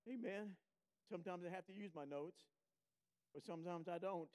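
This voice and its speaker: A man preaching a sermon as a guest, slow and emphatic, sniffling a little. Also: sits high, darkish tone, smooth.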